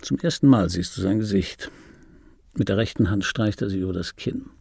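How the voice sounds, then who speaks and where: An aging voice actor, wry and obsessive, dark gravelly voice, slow careful radio play monologue. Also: male voice, on the low side, no echo, clean studio sound. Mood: wry, reminiscing. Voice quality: gravelly, husky. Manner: deliberate, pausing, repeating phrases for emphasis. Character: ageing male dubbing actor recalling his screen star, wry, obsessive. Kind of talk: intimate radio drama monologue.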